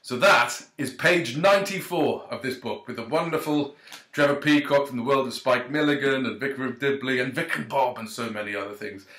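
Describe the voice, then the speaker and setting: A man's voice: mid-range voice, fairly bright tone. Chatty man in an echoey room. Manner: chatty, hesitant, many ums and uhs; self-deprecating, jokey asides. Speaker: author. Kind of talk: casual direct-to-camera thank-you and book reading. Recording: roomy echo.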